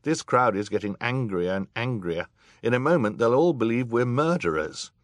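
A man performs an earnest, worried boy from a storybook. He talks plainly and urgently, lifted in pitch with lively intonation. The recording is dry. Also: normal speed, dry recording, natural voice. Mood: urgent, bitter. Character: earnest, worried boy, sibling of two sisters.

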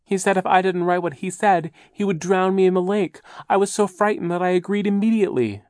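A woman's voice: brisk, a little mellow, dry recording, clean studio sound, natural voice. A man voicing a fussy, fearful older woman guardian who frets and corrects grammar. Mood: fretful, cross. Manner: high, fretful, scolding. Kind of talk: audiobook character voice.

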